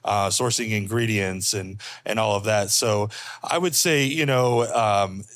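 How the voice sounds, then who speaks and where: A man, a chef and small restaurant owner, answering interview questions in an earnest, halting way with many pauses. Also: on the low side, fairly bright tone, no echo.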